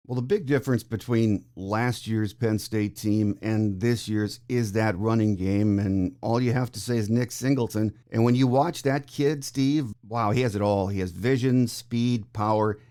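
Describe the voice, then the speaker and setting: Low-voiced American sports podcast host. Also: male voice, steady tone, unhurried, hardly any pauses, dark tone. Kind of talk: conversational sports interview.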